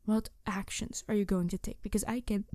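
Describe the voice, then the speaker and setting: A woman's voice: medium pitch, flat delivery, fairly quick, no echo, clean studio sound, close to an everyday voice. A woman playing a snarky, embittered, idealistic supervillain in an intense audio roleplay monologue addressed to the listener. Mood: skeptical, conflicted. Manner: snarky, intense, sighing, shifting from emphatic to hushed. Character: snarky, embittered, idealistic female supervillain confronting her nemesis. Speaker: voice actor.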